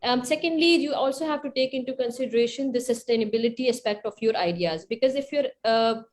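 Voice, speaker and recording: A woman's voice: fairly high pitch, fast, darkish tone. A woman with a Pakistani accent explaining her advice as a panelist, earnest and emphatic, repeating key points. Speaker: panelist, project grantee.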